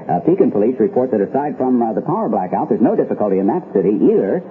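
A man plays a male American radio anchor delivering an emergency bulletin in a radio drama. He is flustered and halting, with very varied intonation. The sound is narrow, like an old 1970s broadcast. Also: mid-range voice, very animated, close to an everyday voice. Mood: uneasy. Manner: halting, hesitant, many ums. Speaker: news anchor. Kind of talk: live radio emergency newscast acting. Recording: band-limited vintage 1970s radio broadcast.

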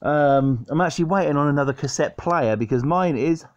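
A man's voice: on the high side, fairly quick. Man chatting casually, dull lo-fi audio. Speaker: video host showing a cassette collection. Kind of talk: casual show-and-tell vlog. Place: indoors at home by a sofa. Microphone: handheld camera or phone mic.

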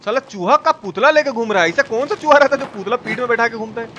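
Male game streamer, sharp high voice, casual and sweary, addressing chat. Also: fast talker, lo-fi sound. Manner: informal, foul-mouthed, talking to viewers. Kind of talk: live gameplay commentary with chat shout-outs. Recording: clear background noise and game ambience. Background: video game ambience.

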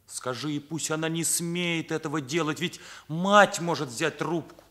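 An actor playing an earnest, indignant teenage son with an emphatic manner in a Soviet radio play. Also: male voice, on the high side, measured pace, sharp tone. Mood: bitter, accusing. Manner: accusing, emphatic, morally rigid.

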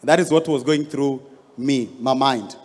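A man's voice: mid-range voice, a little slow. A Kenyan man in his thirties, a pastor telling a story in a sermon with rising emphasis, on a handheld mic. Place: large church hall stage. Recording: long hall reverb.

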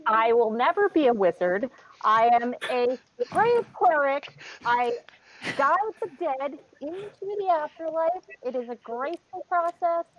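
A woman roleplays a young cleric in an online tabletop game. She talks in a dry, exasperated way, with a slightly high voice. The audio is lo-fi, like a video call. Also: animated, a little slow. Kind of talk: in-character roleplay.

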